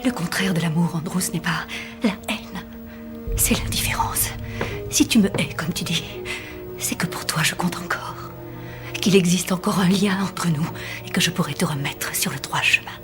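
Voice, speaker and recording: A woman's voice: lowish voice, in a whisper, lightly acted. Woman acting a stern, controlled mother, music underneath. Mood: cold, cutting. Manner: measured, formal, biting delivery, often hushed. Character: stern, controlled mother worn down by her rebellious son. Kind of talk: dubbed TV drama acting.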